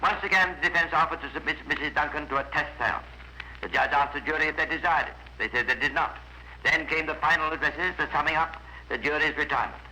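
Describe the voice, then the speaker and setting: A man's voice: a little high, quick pace, sharp tone. An actor playing a composed, wry male narrator of a scripted 1950s radio crime drama. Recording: noisy vintage 1950s radio recording.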